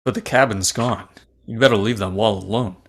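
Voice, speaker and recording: A man playing a blunt, suspicious passenger with a husky voice in a sci-fi drama table read. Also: fairly low pitch, brisk. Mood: suspicious, scolding. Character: blunt, suspicious male passenger on a stranded shuttle. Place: online video call.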